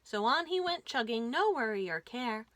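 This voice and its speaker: A woman hosting a children's storytime, reading aloud with an expressive, deliberate delivery for young listeners. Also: fairly high pitch. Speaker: children's librarian and storytime host.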